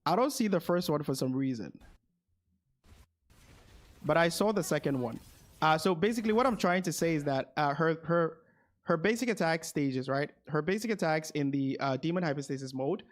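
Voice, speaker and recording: A man with a slightly high voice hosts a game stream. He explains gameplay casually, addresses his viewers and often repeats the start of a phrase while working things out. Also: lively intonation, moderate pace. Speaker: streamer and game guide host.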